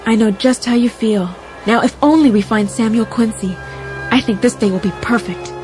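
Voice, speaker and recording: A woman as a high-voiced, girlish loyal friend. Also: moderate pace, darkish tone, faint music behind, close to an everyday voice. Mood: delighted. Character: loyal, practical girl, the heroine's doubtful young friend. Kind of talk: children's read-along story acting.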